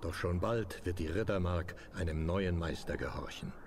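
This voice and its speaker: An actor playing a dark, commanding older wizard lord in a fantasy game, solemn and imperious, with a gravelly voice. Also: male voice, low voice, normal speed, over music, close to an everyday voice. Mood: ominous, solemn. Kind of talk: dramatic fantasy game voice acting.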